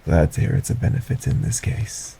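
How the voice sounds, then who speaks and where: A man voicing a polite, sinister male stranger in an audio roleplay, speaking formally and courteously with a soft, breathy menace. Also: fairly quick, a little hiss or hum, lightly acted. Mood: smooth, courteous.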